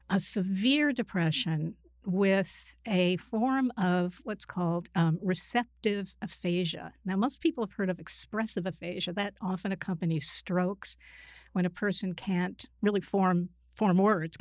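A woman's voice: lowish voice, dry recording, studio quality. A middle-aged woman from Queens, New York, a psychiatrist guest explaining things conversationally, with hesitations and self-corrections. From Queens, New York, United States. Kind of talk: conversational personal account.

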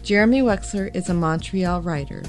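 A woman's voice: lowish voice, normal speed. A woman reading a scripted podcast announcement. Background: music.

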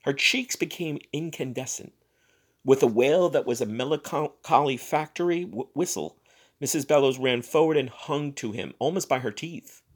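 Male story reader, mid-pitched and expressive. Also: moderate pace, breathy edge. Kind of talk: dramatic literary narration.

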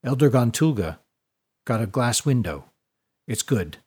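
A man voicing a gruff, stubborn fisherman of few words in an audiobook, curt and low-key. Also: animated, unhurried, a little mellow. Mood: subdued.

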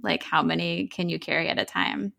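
A woman's voice: on the low side, fairly even intonation, a little fast, vocal fry, bright, forceful tone. A woman co-hosting a librarians' podcast, chatting casually with a giggly, chatty manner. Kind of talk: casual group chat.

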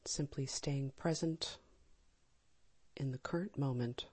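A woman leading a guided meditation in a calm, gentle, soft and breathy manner, with long pauses. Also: rumbling low. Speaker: meditation teacher. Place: live small group session.

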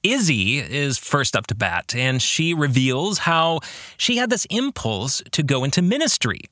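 A man hosting a reflective solo podcast, speaking in deliberate, emphatic phrases. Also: mid-range voice, big pitch swings, pushed and bright, no room sound, studio quality. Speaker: podcast host and author.

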